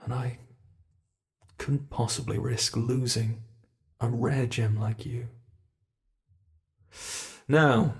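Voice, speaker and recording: A British man playing a gravelly ancient monster. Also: medium pitch. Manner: slow, soft-spoken, teasing menace. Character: ancient male monster, possessive, mockingly polite. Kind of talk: ASMR horror roleplay.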